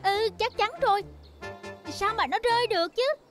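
A woman's voice: extremely high pitch, moderate pace, a bit bright, soft background music. A woman voicing a sweet, sensible young girl fox in a children's cartoon dub, cheerful and girlish.

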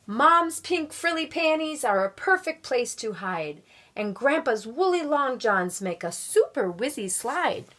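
A middle-aged woman reading a children's picture book aloud at home with expressive, drawn-out storytelling delivery. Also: sits high. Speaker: volunteer guest story reader. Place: small room at home.

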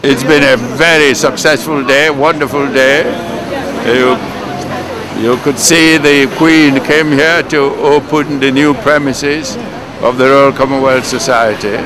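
An older man, president of a society, giving a measured comment outdoors at an event. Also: mid-range voice, fairly even intonation, rough recording. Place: outdoors at a crowded street event. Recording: busy crowd behind.

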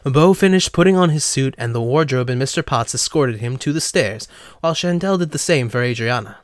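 A male narrator reads a scripted story for an audio drama. His voice sits at a middle pitch and is recorded close and dry. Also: expressive, brisk, no room sound.

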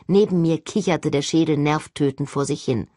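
A woman reading a first-person novel as an expressive, scripted narration. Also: sits low, moderate pace, dry recording, studio quality. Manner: expressive, acts out dialogue. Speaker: audiobook narrator.

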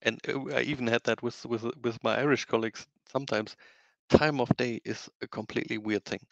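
A German man in his thirties with a Ruhr-area German accent, a guest engineer talking haltingly over a video call on a headset. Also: medium pitch, steady tone, creaky, no room sound. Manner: hesitant, halting with many restarts. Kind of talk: casual video-call interview. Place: home room, indoors. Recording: dull video-call audio.

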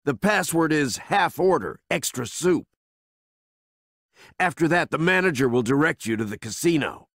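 An actor playing a shady, bullying yet cowardly bartender in an English game dub, husky and excitable. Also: male voice, a little high, moderate pace, no room sound, studio quality. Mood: cowed pleading.

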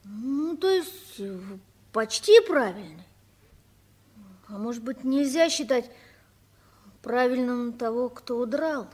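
A woman playing an earnest, halting nine-year-old runaway orphan boy in a 1960s radio play. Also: a little high, expressive, a little mellow. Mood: sheepish confessing.

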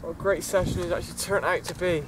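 Young man, casual, slightly high voice, lakeside noise. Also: fairly even intonation, fast talker. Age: young adult. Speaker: angler. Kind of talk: casual fishing commentary. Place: outdoors by a lake.

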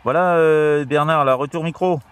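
A man's voice: fairly high pitch, fairly even intonation, moderate pace, clean tone. A man chatting casually as a CB radio operator, hesitant and repetitive, with constant tag words. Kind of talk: casual radio contact chat. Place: outdoors, crouched in grassy scrubland.

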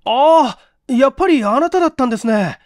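A man performs a courteous, inquisitive gentleman in a vintage mystery drama. His pitch swings widely as he questions things in puzzled, easily surprised tones. The sound is dry and close. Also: lively intonation, brisk, no room sound. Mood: questioning. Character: Okamoto, polite, puzzled, devoted male apartment manager.

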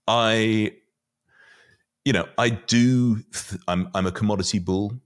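A middle-aged man giving conversational market commentary as a guest, often halting and repeating words as he thinks. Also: lowish voice. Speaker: podcast guest, finance commentator. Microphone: podcast mic on boom arm.

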